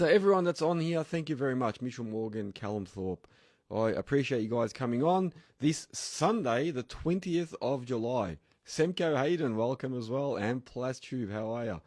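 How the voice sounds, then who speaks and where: A man with an Australian accent hosting a live podcast, his voice croaky and hoarse from illness, chatting in a rambling way and thanking listeners by name. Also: medium pitch, darkish tone, dry recording, clean studio sound. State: hoarse, sick voice. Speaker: podcast host.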